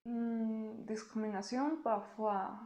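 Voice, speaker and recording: A woman from Mexico, a native Spanish speaker with a Mexican Spanish accent, answering interview questions slowly and carefully. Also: fairly high pitch, steady tone, a little mellow, reverberant, poor audio. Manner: slow, careful speech with pauses.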